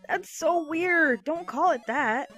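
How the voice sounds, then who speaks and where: Woman playing giggly cartoon monster boy, extremely high, bright, lively pitch. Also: heavily acted. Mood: amused. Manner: giggling, protesting. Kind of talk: comic dub cartoon acting.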